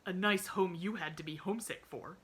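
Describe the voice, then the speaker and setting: A woman's voice: lowish voice, lively intonation, measured pace, sharp tone, breathy edge, exaggerated voice. A woman voicing a stern, cold spinster aunt in a storybook reading, speaking in icy, clipped commands.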